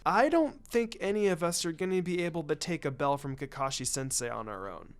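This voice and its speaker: A shy young ninja girl from an adventure story, as a man reading aloud puts her on. She sounds polite and nervous, with soft, hesitant delivery. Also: fairly high pitch, expressive, brisk, smooth, natural voice. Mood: timid, polite.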